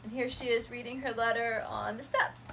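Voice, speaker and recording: A woman teacher reading a picture book aloud to her students, gentle and expressive. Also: a little high, normal speed, vocal fry, noisy background.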